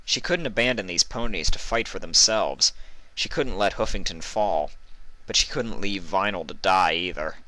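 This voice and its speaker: Deep-voiced man narrating a story. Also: normal speed, bright, forceful tone, no echo, low-quality recording. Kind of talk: scripted fan fiction narration.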